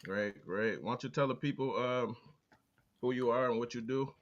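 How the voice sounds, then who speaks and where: A man hosting a podcast, talking in a low-key, unhurried way with frequent filler words, with an American Midwest accent. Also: lo-fi sound. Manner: low-key, slow, hesitant with many "uh" fillers. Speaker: podcast host. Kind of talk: casual interview talk.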